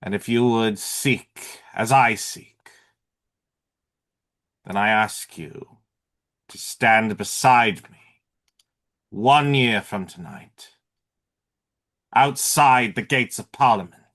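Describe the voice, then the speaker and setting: A man performing a theatrical monologue as an eloquent, defiant masked vigilante with measured, formal oratory. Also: fairly low pitch, fairly bright tone. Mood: solemn, rousing.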